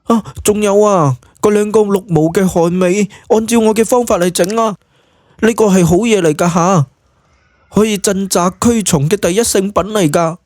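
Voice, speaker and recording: A woman playing a bashful yet bossy young witchcraft expert in a Cantonese audio drama, expressive and huffy. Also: mid-range voice, moderate pace, no room sound, close to an everyday voice. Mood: instructive.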